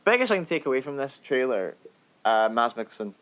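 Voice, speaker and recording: A man's voice: medium pitch, sing-song, fairly quick, a bit bright, clear, resonant tone. A man hosting a podcast, chatting informally about film news and keeping the discussion moving along. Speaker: podcast host.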